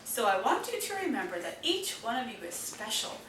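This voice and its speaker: A woman reading a picture book aloud to young children in an expressive, deliberate storytelling manner. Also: fairly high pitch. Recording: roomy, distant mic, faint noise.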